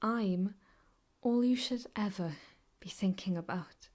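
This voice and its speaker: A woman playing an obsessive, possessive yandere ex-girlfriend in an improvised ASMR roleplay, speaking intimately and haltingly, often slipping into whispers. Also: medium pitch, darkish tone. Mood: coaxing, possessive. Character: obsessive, possessive yandere ex-girlfriend holding her captive lover.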